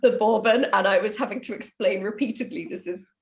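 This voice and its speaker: A woman's voice: mid-range voice, fairly quick. A woman chatting casually on a podcast in a wry, conversational manner. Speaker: writer and podcast co-host.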